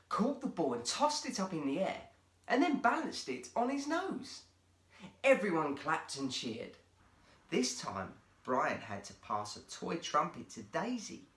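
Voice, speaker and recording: Slow, animated male children's storyteller. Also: on the high side. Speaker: guest storyteller. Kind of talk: expressive children's story narration. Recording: roomy, echoey indoor sound.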